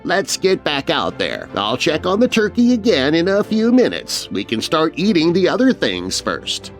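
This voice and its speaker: A man's voice: a little high, wildly swooping pitch, normal speed, soft background music. A man voicing a placating, reassuring aunt in a spooky children's story. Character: calm, placating aunt hosting a family dinner.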